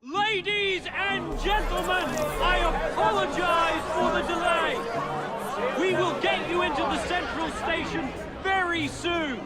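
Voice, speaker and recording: An actor playing a train conductor making a formal announcement, a bit harried. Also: male voice, very high voice, measured pace, loud music behind the voice, over a noisy crowd. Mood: announcing. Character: flustered male train conductor.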